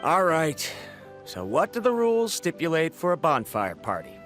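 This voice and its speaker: A man's voice: fairly high pitch, wildly swooping pitch, normal speed, fairly bright tone, faint music behind. A man voicing a sensible, reserved traveler in a video game, formal and cautious. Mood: cautious, resigned.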